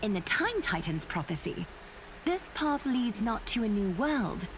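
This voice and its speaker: A woman's voice: fairly high pitch, very animated, measured pace, noisy background. An actress playing a graceful, spirited noblewoman weaver in a video game, poised and formal. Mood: grave.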